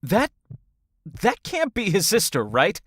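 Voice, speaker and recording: A woman's voice: medium pitch, wildly swooping pitch, takes it slowly, slightly rough, no room sound, clean studio sound, heavily acted. A woman playing a blunt, skeptical adventurer in a fantasy audio drama, with a wry way of speaking. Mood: worried, disbelieving.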